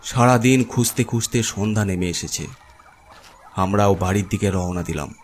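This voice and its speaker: Low-voiced man narrating a horror story. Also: moderate pace, a little hiss or hum.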